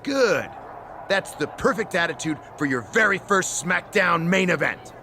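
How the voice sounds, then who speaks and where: A man's voice: sits high, animated, measured pace, sharp tone, a little hiss or hum, heavily acted. A man playing a smug, theatrical wrestling general manager in a video game cutscene, with a pompous, sarcastic showman's delivery. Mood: mock cheerful.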